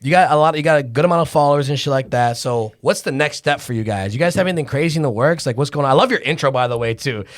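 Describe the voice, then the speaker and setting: A man's voice: a little high, very fast, few pauses. A man hosting a podcast in casual chat, talking loosely with slang, swearing and lots of restarts. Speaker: podcast host.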